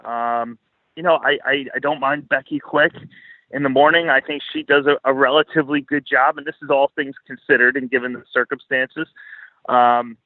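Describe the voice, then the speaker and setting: Male podcast guest, mid-pitched, casual and opinionated, swears freely, talks bluntly, very little room sound. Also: steady tone, moderate pace, no echo, narrow, tinny audio. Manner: blunt, profane, informal. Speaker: podcast guest, financial commentator. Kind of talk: casual interview talk, opinionated commentary.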